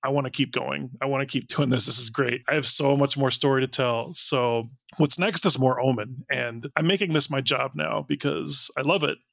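Man, interview guest, candid and earnest, average pitch, gravelly fry, very dry sound. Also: moderate pace, few pauses, fairly bright tone, no echo. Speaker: guest, audio drama writer and director. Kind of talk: reflective interview talk.

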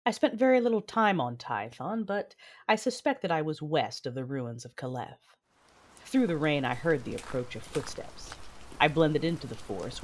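A woman's voice: extremely low pitch, slight background noise. A woman playing an intense, battle-hardened Sith mother who narrates her own story theatrically in an audio drama. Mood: brooding, tense. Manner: brooding, theatrical, emphatic outbursts. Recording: music bed with rain sounds behind.

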